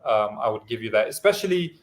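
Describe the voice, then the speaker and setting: A man's voice: mid-range voice, big pitch swings, quick pace. A man whose first language is Pashto, hosting a casual livestream talk and speaking in an easygoing way with many hesitant fillers. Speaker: livestream host.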